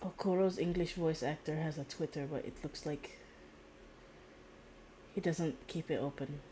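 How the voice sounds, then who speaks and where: A woman's voice: low-pitched, normal speed, dark tone. Rambling woman streamer with faint background noise. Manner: giggly, rambling, repeats words, blunt slangy humour. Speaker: game streamer. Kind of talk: casual live-stream chat.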